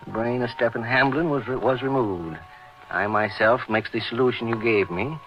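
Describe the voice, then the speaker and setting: A man's voice: medium pitch, moderate pace, soft background music, close to an everyday voice. A man playing a concerned, deferential doctor and loyal colleague, earnest and polite, in old-time radio acting. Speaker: actor in a horror radio drama. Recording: muffled old radio drama recording.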